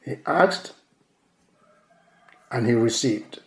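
A male pastor whose first language is Yoruba preaches a sermon in a measured way, repeating key phrases for emphasis. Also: mid-range voice, lively intonation. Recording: echoey room.

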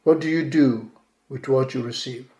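A male pastor whose first language is Yoruba preaches a sermon in a measured way, repeating key phrases for emphasis. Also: medium pitch, dark tone, dull audio. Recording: echoey room.

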